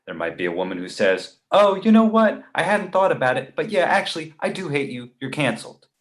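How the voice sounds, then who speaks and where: A man's voice: fairly high pitch, sing-song, fairly quick. Male comedy table read: actor as exasperated, cautious editor friend, widely swinging intonation.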